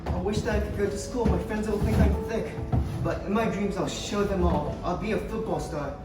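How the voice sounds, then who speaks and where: A woman's voice: medium pitch, a little fast, natural voice. A woman playing a weak, wistful, sickly poor boy, declaiming rhymes in a stage pantomime. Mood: pitiful. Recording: echoey stage, distant mic, loud music.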